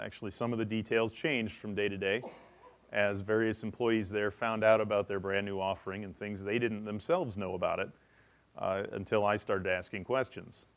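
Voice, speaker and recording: A man's voice: fairly low pitch, creaky. A man presenting a technical session at a conference, explaining in a matter-of-fact way.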